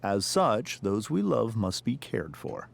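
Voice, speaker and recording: Stern low-voiced American father. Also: very animated, vocal fry, full character voice. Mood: solemn, lecturing. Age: middle-aged. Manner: measured, formal, speechifying. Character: stern, pompous district attorney and family father. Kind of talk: dramatic video game acting.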